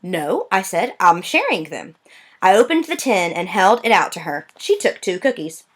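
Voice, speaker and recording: Expressive woman reading a story aloud. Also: mid-range voice, moderate pace. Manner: expressive storytelling with lively voice changes. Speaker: reader. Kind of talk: reading a children's novel aloud.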